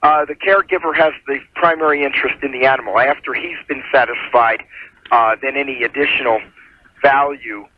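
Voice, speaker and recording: A man's voice: mid-range voice, fairly even intonation, fairly quick, phone-quality sound, slight background noise. A man giving methodical, formal explanations as a legal adviser on a radio call-in show, over a telephone. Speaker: attorney calling in as legal adviser.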